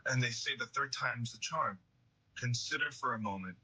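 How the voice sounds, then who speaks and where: A man performs an anxious, devout young man in an audio drama. The voice is strikingly sharp and husky, middling in pitch, with an earnest, slightly hesitant delivery. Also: moderate pace, rough recording. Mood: earnest reading.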